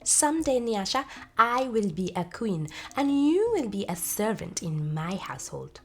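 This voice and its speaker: A woman's voice: mid-range voice, sing-song, measured pace, soft background music, voice pushed up. A woman voicing a mean, haughty, bad-tempered young woman in a storybook, imperious and scornful. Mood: resentful, boastful. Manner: imperious, scolding. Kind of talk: storybook character voice.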